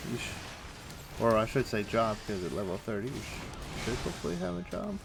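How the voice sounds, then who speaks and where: A man livestreaming a game, chatting casually and thinking aloud in a rambling, halting way. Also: on the low side, big pitch swings, vocal fry, background noise. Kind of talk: casual chat while playing an online game. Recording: music playing behind. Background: music.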